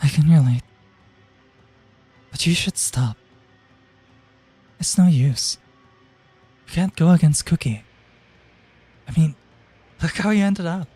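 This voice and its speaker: A man voicing a gentle teenage schoolgirl who speaks with soft, nonchalant reassurance. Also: fairly high pitch, animated, slow pace, long pauses, slightly rough, no room sound, soft background music, pitched above the performer's own voice, full character voice. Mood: earnest. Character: gentle teenage girl classmate, calm.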